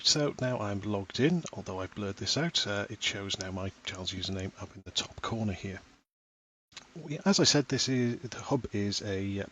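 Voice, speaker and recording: Calm low-voiced father explaining software. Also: slightly rough. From Wales. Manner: patient, step-by-step explaining. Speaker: parent giving a tutorial. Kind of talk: screen-recorded software tutorial.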